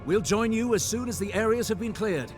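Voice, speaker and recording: An actor playing an eager, loyal soldier in a fantasy video game. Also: male voice, high voice, animated, a little fast. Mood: dutiful. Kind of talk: dramatic video game acting. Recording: music behind the voice. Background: clear music.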